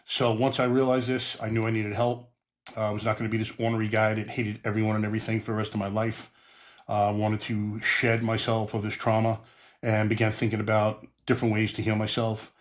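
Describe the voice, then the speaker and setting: Long island guy hosting a podcast, low and pretty monotone, lots of uh's. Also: brisk. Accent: Long Island New York. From Long Island, New York. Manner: plain-spoken, frequent "uh" fillers, earnest. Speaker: podcast host. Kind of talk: podcast monologue and interview.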